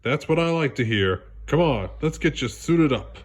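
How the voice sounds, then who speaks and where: A man voicing a brisk, hearty male hockey coach with emphatic delivery for a storybook. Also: on the high side, expressive, pitched above the performer's own voice. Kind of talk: storybook character voice.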